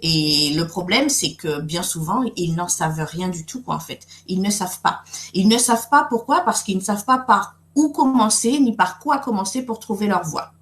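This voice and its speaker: A woman's voice: mid-range voice, expressive, normal speed, roomy sound. A middle-aged woman from Guadeloupe hosting a live webinar, speaking in a friendly way with many fillers and restarts. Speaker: webinar host and coach.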